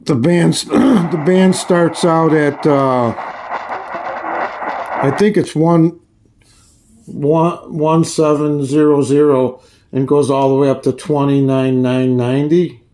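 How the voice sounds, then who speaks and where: A man giving a casual, hands-on review in a halting, folksy way, often restarting his phrases. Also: on the high side, steady tone, noisy background. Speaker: radio reviewer. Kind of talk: casual hands-on product demonstration.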